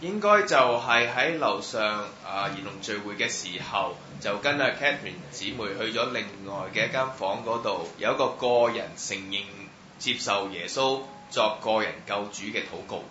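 A man interpreting a testimony line by line at church, halting with many fillers. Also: fairly low pitch, animated, sharp tone. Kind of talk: live sentence-by-sentence translation. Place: church hall. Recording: roomy, muffled hall sound with faint noise.

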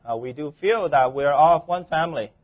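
A man interpreting a sermon phrase by phrase, with formal church wording and a halting delivery. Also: on the high side. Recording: dull, muffled lo-fi sound.

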